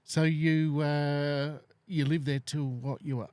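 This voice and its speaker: A man's voice: on the high side, little pitch movement, slow pace, a little mellow, dry recording. A man hosting a casual studio interview, friendly and chatty, poking fun at his own mistakes. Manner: friendly, chatty, self-deprecating. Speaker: podcast host. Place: small podcast studio.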